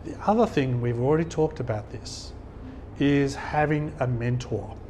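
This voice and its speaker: Middle-aged man, event guest speaker, relaxed and anecdotal, average pitch, light hiss behind. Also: normal speed. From Australia. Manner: conversational, anecdotal, chuckles at his own stories. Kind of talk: informal talk to an audience. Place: function hall.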